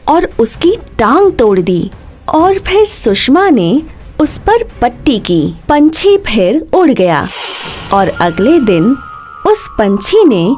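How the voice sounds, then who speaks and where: Slow, animated woman narrating a children's story. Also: fairly high pitch.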